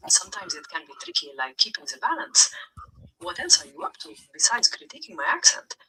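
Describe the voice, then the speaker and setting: A woman's voice: fairly low pitch, huge pitch swings, fairly quick, sharp tone, a little hiss or hum, poor audio. A synthetic woman's voice of an AI chatbot, replying in a polite, stern and formal way. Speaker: AI chatbot persona. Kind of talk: formal scripted replies.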